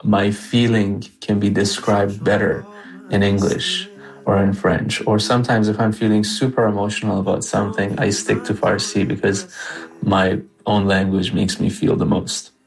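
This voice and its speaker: Gravelly low-voiced Iranian man. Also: flat delivery, normal speed, soft background music, rough recording. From Iran. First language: Persian. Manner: earnest, talks with filler "like". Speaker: singer-songwriter guest. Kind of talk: interview.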